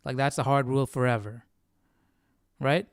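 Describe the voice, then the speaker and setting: A man's voice: mid-range voice, fairly even intonation, moderate pace, dry recording, clean studio sound. A man hosting a casual Bible study stream, thinking aloud in a questioning way and often repeating and rephrasing himself as he reasons. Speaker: livestream Bible study host.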